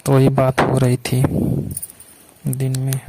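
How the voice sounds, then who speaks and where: A man's voice: mid-range voice, monotone, slow pace, darkish tone, lightly acted. A man playing a wary village lover on a secret phone call, coaxing and making excuses in colloquial Hindi with an eastern Uttar Pradesh or Bihar accent. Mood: uneasy. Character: furtive, wary village man secretly romancing his sister-in-law.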